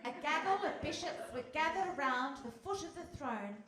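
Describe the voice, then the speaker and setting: A woman's voice: high voice, animated, normal speed, a crowd in the background. A woman narrating a scripted fairy-tale radio play live on stage. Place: live stage in a hall with audience. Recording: echoey hall, faint noise.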